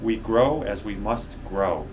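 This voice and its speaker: A man voicing a wise old tree in a storybook, measured, gently joking and reflective. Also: fairly low pitch, lively intonation, noisy background. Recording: music behind the voice.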